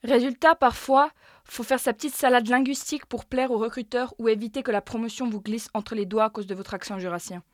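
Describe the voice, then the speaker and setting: Fast-talking woman presenter. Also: on the high side, dry recording, studio quality. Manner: brisk, lively presenter delivery. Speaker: host and interviewer. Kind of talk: scripted narration and interview questions.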